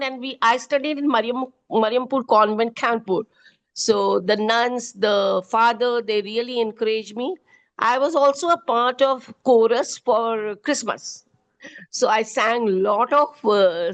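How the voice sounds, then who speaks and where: A middle-aged woman with an Indian accent, a poet and educator answering interview questions earnestly, often pausing with fillers. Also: on the high side. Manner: earnest, hesitant with frequent fillers.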